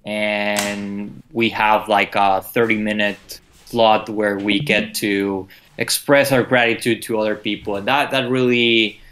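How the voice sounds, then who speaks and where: A man's voice: fairly low pitch, little pitch movement, slow, a bit bright. A man talking casually on a community video call, full of restarts and fillers. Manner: hesitant, many restarts. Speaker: community member doing onboarding.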